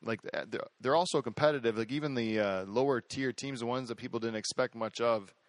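This is a male podcast host with a middle-pitched voice, talking in a loose, rambling chat. He brags and gets excited, circling back on his own words. The sound is dry and close, with no room around it. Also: fairly quick, dry recording. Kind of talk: casual chat.

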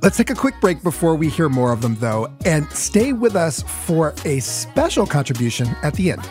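A podcast co-host speaks with a lively, swooping pitch. The manner is relaxed and conversational. Faint music sits in the background. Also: normal speed.

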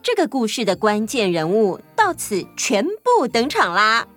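一位女性为儿童故事做旁白，按稿讲述。. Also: female voice, fairly high pitch, big pitch swings, unhurried, a bit bright. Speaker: children's story narrator. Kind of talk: scripted children's story narration. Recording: music behind the voice.

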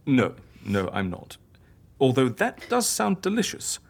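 A woman's voice: very deep voice, huge pitch swings, measured pace, creaky, slightly rough, full character voice. A woman playing an eccentric female healer in an audio drama, theatrical, playful and persuasive. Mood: coaxing, solemn.

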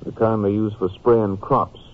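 Serious, husky police captain. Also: male voice, on the low side, flat delivery, unhurried. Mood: businesslike. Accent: American. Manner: matter-of-fact briefing. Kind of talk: 1950s radio drama acting. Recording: muffled, narrow-band old radio recording.

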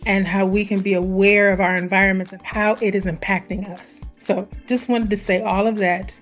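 A woman hosting her own podcast, her voice hoarse and scratchy, talking in an animated, conversational way and drawing out words for emphasis. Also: medium pitch, normal speed, faint music behind. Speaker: podcast host. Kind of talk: solo podcast intro.

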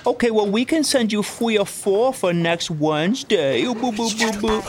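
A man acting as an eager, defensive male salesman in an office comedy. His voice is very high and lively, blurting and babyishly cooing, over busy chatter. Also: moderate pace. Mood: cooing, salesy. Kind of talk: improvised office comedy, audio sketch.

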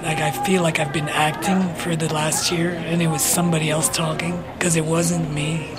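Woman interviewee over loud music. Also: sits low, level tone, normal speed, over a noisy crowd. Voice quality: imperfect voice recovering after surgery. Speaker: interviewee, teacher. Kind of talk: casual interview chat.

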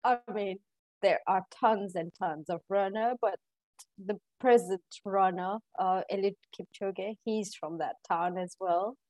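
A woman from Kenya being interviewed, answering in a friendly, halting way and giggling often. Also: mid-range voice, a little mellow, no echo, rough recording. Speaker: podcast guest. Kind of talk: casual interview chat.

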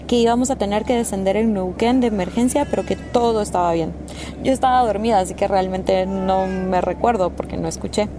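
A woman's voice: medium pitch, background noise. A woman in her twenties with a Guatemalan accent, casually telling a personal story. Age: 20s. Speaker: interview guest, actress and theater director.

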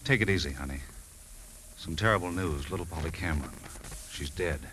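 A man's voice: rumbling low, brisk, fairly bright tone. A man playing a hearty, skeptical husband who is easily exasperated, in a 1950s radio drama. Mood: stunned. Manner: emphatic. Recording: noisy 1950s radio broadcast recording.

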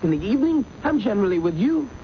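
An actor playing a defensive, indignant office worker in a 1970s radio drama, emphatic and protesting. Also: male voice, high voice, sing-song, fast talker. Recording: muffled, noisy old radio recording.

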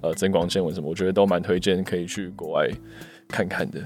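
A man's voice: low voice, steady tone, fast, darkish tone. A man with a Taiwanese Mandarin accent co-hosting a casual podcast chat. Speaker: podcast co-host. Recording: music playing underneath.

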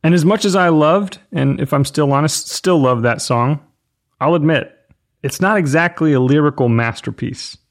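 A man's voice: fairly high pitch, normal speed. Enthusiastic man around forty hosting a podcast. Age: about 40. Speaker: podcast host. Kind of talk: scripted narration and casual chat about pop culture.

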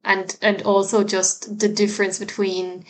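A woman's voice: medium pitch, level tone, unhurried. A woman from Ireland with an Irish accent, hosting a solo podcast and giving learners earnest, encouraging tips, with frequent restarts and fillers. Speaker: podcast host, language teacher.

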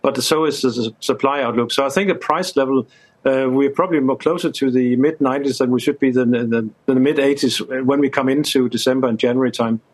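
Market analyst guest: man with average pitch, hesitant, word-repeating delivery in a live interview. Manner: halting, repeats words mid-sentence.

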